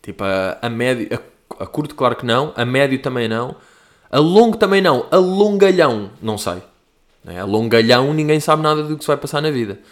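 A man's voice: medium pitch, animated, a little fast. Rambling young Portuguese man, slangy chat. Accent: European Portuguese. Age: young adult. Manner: rambling, slangy, self-mocking. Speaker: comedian host answering listener questions. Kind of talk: casual monologue.

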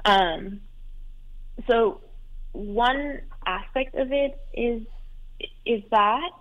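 A woman's voice: a little high, very slow. A young adult woman whose first language is Farsi, calling in to an advice show and talking hesitantly with many fillers and restarts. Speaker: caller. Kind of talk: call-in advice talk. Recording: tinny phone line.

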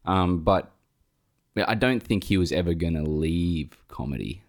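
Young male podcast co-host with a very low voice, loose and rambling, swears casually. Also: expressive, measured pace. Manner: rambling, swears freely, many fillers. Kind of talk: casual film review chat.

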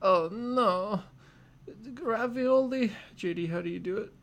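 A man's voice: sits high, takes it slowly. A man talking casually as a podcast co-host, informal and slangy, often repeating his phrases. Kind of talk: casual conversation about comic book news.

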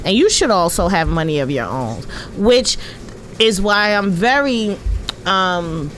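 A woman's voice: medium pitch, expressive, unhurried, fairly bright tone, a little hiss or hum, poor audio. A woman in her late twenties talking candidly and emphatically as a podcast co-host in casual conversation. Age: late 20s. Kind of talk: candid casual panel chat.

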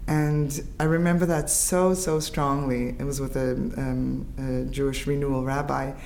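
Woman in her fifties, grew up in Israel, English first language, halting reflective speech, light ambient noise, interview guest. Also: a bass voice, fairly even intonation, vocal fry, a little mellow. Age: 50s. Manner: halting, restarts and fillers, reflective. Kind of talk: conversational interview.

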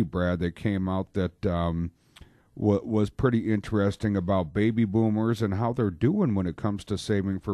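A man's voice: fairly low pitch, mellow, dry recording, studio quality. A man hosting a radio talk show about money, chatty and joking, with lots of fillers. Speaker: radio show host, financial planner. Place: radio studio. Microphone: studio microphone.

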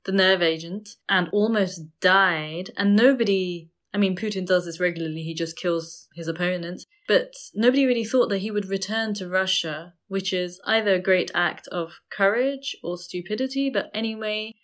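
A woman's voice: mid-range voice, normal speed, few pauses, fairly bright tone. A woman in a casual discussion, talking in an explanatory, opinionated way. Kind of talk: casual discussion of books and current affairs.

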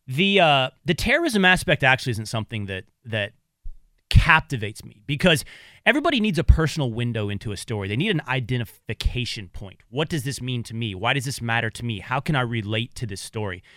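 Male radio co-host in his thirties, bright and forceful tone, dry studio sound, animated, restarts a lot. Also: mid-range voice, fairly quick, no room sound. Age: 30s. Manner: animated, restarts often, argues a point. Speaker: radio talk show co-host. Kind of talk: casual talk radio discussion. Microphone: studio microphone.

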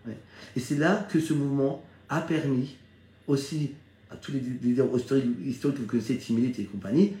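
An echoey recording carries a middle-aged man from France with a soft, mellow timbre. He talks informally like a business mentor sharing his experience. His delivery is conversational, regularly seeking agreement from his listeners. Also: mid-range voice, a little fast. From Strasbourg, Alsace, France. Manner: conversational, seeks listeners' agreement with frequent check-ins. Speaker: network marketing leader. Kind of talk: informal motivational talk recounting own career. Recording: echoey, roomy sound.

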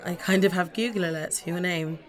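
A woman's voice: lowish voice, fairly quick, natural voice. An actress playing a nervous, eager young woman in a radio play, apologetic, hesitant and polite in naturalistic dialogue. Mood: nervous, admiring. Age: young adult. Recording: busy restaurant chatter behind. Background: restaurant crowd chatter.

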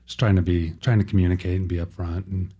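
A man speaking as a team executive at a press conference, answering in careful, halting, measured phrases. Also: sits low, level tone, mellow, breathy edge.

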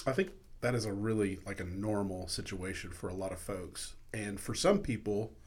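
A man co-hosting a church podcast, gently interviewing a guest with a hesitant manner. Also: on the low side, normal speed, slightly rough. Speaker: podcast co-host. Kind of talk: casual interview chat.

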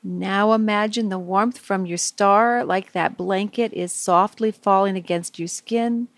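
A woman leading a guided visualization for young children, speaking in simple, soothing phrases with gentle pauses. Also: medium pitch, steady tone, no room sound.

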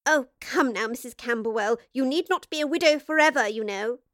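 Woman doing a nasal, tittering gossip of a young lady, pitched high. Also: a little fast, a bit bright, no room sound, studio quality. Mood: gushing. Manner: tittering, prying.

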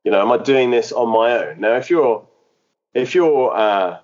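A man's voice: medium pitch, animated, normal speed, darkish tone, highs cut off. A man who planted a church, speaking in a podcast interview, hesitant and full of fillers and restarts. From England.

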